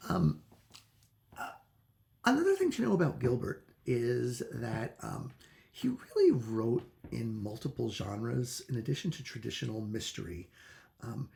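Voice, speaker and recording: Man with heavy vocal fry, chatting casually. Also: medium pitch, very animated, measured pace, darkish tone. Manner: hesitant, searching for words. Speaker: book reviewer. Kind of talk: casual unscripted book review to viewers.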